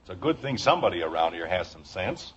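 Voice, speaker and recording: A man's voice: low-pitched, sing-song, fast, a bit bright. An American actor playing an exasperated but affectionate middle-aged father in a 1950s radio sitcom. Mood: calm, kindly. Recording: muffled, hissy old radio recording.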